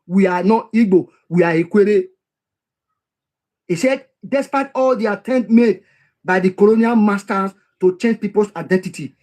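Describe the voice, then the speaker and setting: A man with a notably high voice works through a history text. He reads a passage, stops to explain it in plain terms, and says key lines twice to drive them home. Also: a little mellow. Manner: reads quoted passages then explains them, repeats key phrases for emphasis. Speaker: history commentator.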